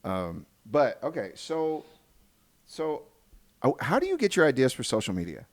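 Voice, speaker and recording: A man's voice: medium pitch, wildly swooping pitch, moderate pace. A man hosting a casual podcast chat, talking informally and swearing casually. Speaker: podcast host and video producer.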